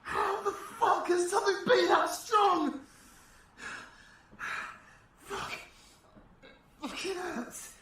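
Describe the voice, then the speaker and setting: A man's voice: very high voice, very slow, long pauses, pushed and bright, very echoey, distant mic, heavily acted. A British man playing a foul-mouthed, vengeful, defiant supervillain fighter in a dramatic audio roleplay, swearing with raw emotion. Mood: pained, frightened.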